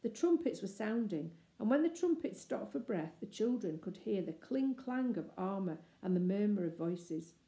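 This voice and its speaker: A woman narrates a children's story in a measured, expressive way. Her tone is dark and mellow at an average pitch, recorded in a noticeably echoey room. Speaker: storybook reader.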